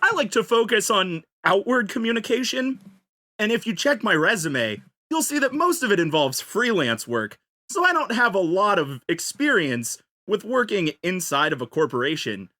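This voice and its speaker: Podcast panelist, animated and varied in pitch, selling an improvised comic pitch with playful rhetorical questions. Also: moderate pace.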